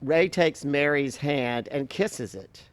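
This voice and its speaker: A man's voice: mid-range voice, slow, no echo. A man reading stage directions as scripted narration for a play reading.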